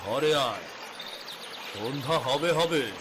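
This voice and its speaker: An actor playing a proud, harsh middle-aged village landlord father in a cartoon story, with a husky voice and commanding delivery. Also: medium pitch, animated, slow, noisy background. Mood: easygoing. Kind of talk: Bengali cartoon story acting.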